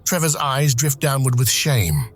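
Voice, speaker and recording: A man's voice: medium pitch, big pitch swings, measured pace, breathy edge. A man reading scripted screenplay narration for an audio drama.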